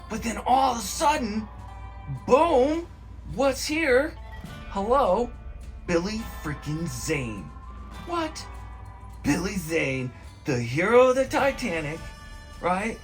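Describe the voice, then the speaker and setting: Excitable high-pitched man rambling with animated swings. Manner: slangy, profane, excitable, meandering storytelling. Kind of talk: rambling casual comedic movie recap voicemail.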